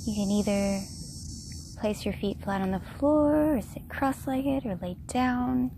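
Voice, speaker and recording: A woman leading a guided meditation in a gentle, unhurried, soft-spoken way. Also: high voice, vocal fry, slight background noise. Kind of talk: guided meditation, slow soothing narration.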